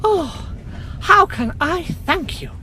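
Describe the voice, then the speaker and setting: A woman's voice: very high-pitched, animated, takes it slowly, pushed and bright, slight background noise, voice pushed up, exaggerated voice. A woman voicing a trim young woman with a faint foreign inflection, speaking in a breathless, guarded way. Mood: flustered.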